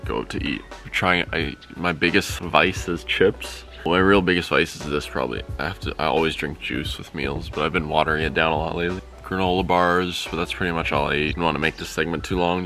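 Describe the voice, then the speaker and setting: A young man with a deep, low-pitched voice chats in a loose, offhand way as he films himself at arm's length. Clear background music plays under his voice. He sounds relaxed and unhurried. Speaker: vlogger. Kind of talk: casual vlog chat. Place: home and outdoors, sunny villa. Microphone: camera held at arm's length.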